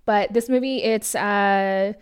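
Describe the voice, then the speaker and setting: A woman's voice: mid-range voice, steady tone, slow pace, smooth. American woman with a fading voice. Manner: rambling, filler-heavy, laughs often. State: losing her voice. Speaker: podcast co-host. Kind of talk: casual movie review chat.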